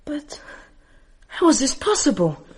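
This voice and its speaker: Earnest young boy, high and lively. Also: a little slow, noisy background. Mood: bewildered. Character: earnest, innocent young boy in a medieval abbey. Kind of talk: radio drama acting.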